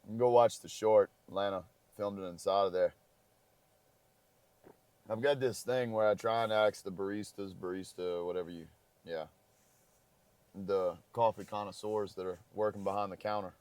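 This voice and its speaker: A man's voice: fairly low pitch, fairly even intonation, moderate pace, pauses often, a little mellow, smooth, no echo. A man who is losing his voice, chatting casually and rambling in a parked car. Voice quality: crackly voice. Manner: rambling, easygoing. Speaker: travel vlogger. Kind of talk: casual chat.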